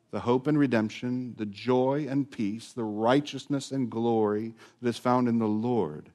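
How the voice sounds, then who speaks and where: Man preaching, swinging loud to hushed. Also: mid-range voice. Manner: dramatic swings from loud to hushed, drawn-out words. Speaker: pastor. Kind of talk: sermon.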